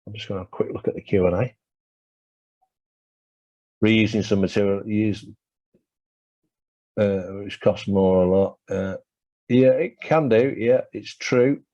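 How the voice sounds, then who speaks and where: A man's voice: on the low side, a little slow, pauses often, mellow, no room sound. A man presenting a webinar and answering questions, talking with many fillers and restarts, his voice going. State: losing his voice. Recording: dull lo-fi webinar audio.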